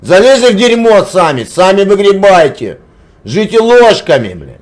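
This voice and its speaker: Brief: man, host type, somewhat high voice with a very lively pitch, lecturing with scorn and swearing. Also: normal speed. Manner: lectures loudly, scornful, repeats demands. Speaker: channel host arguing with a stranger. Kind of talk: heated argument, swearing.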